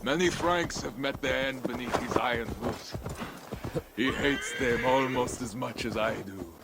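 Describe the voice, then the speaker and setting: A man's voice: mid-range voice, measured pace, heavy background noise, lightly acted. Man playing a grim, vengeful Viking warlord. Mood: brooding, proud. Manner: solemn, formal, archaic phrasing. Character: vengeful male Viking warlord, proud, brooding, bloodthirsty. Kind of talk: dramatic video game acting.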